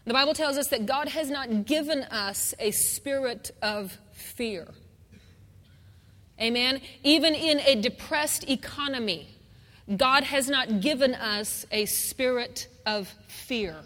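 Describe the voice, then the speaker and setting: A woman with a high voice preaches a fervent sermon and keeps asking her congregation for an amen. She repeats key phrases and builds them up. Her voice rings through a big reverberant hall. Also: takes it slowly, fairly bright tone. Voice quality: singer. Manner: call-and-response, repeats phrases with rising fervor.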